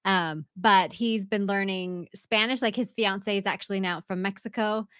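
A woman's voice: medium pitch, moderate pace, fairly bright tone, clean tone, no room sound. Woman host, dry close sound. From United States. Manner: friendly, light laughter. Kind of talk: casual interview chat.

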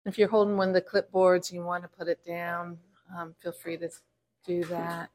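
A woman meditation teacher leading a guided body scan in a gentle, unhurried way, pausing between short phrases. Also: fairly low pitch, completely flat delivery.

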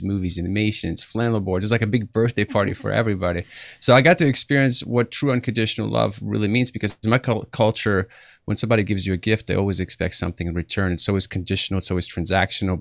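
A man's voice: deep voice, vocal fry, a bit bright, no echo, clean studio sound. A young man from Ukraine giving an earnest personal testimony as an interview guest, often repeating words as he searches for them.

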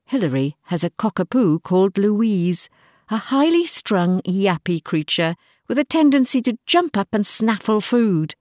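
A woman reading a scripted, diary-style short story in an expressive manner. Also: mid-range voice, unhurried, no echo, studio quality. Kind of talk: scripted first-person story narration, diary-like.

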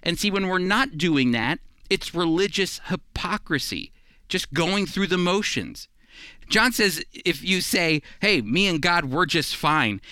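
A man's voice: fairly high pitch, pushed and bright, no echo. A man teaching the Bible on a radio program in an earnest, emphatic, conversational preaching style.

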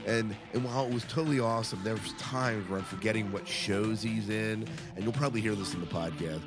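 A man's voice: fairly low pitch, a little fast. A man hosting a podcast in casual chat, rambling a little and poking fun at himself. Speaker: podcast host. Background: music under the voice.

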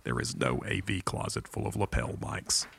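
A man playing a stern, officious old eyeball inspector in an audio comedy, very deep and gravelly, with formal, clipped speech. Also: animated, normal speed, fairly bright tone, heavily acted. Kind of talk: audio comedy drama acting.

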